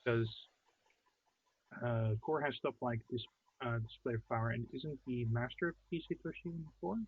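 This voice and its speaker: A man co-hosting a card game stream over a remote call, with a husky voice, talking things through with hesitant restarts. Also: mid-range voice, moderate pace. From outside the United States. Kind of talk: casual post-game chat. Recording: dry, tinny call-quality audio.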